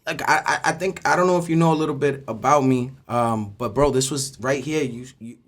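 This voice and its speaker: A man in his thirties hosting a casual interview, excitable and slangy, repeating phrases for emphasis. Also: medium pitch, brisk. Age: 30s. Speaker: interview host. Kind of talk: casual hype chat.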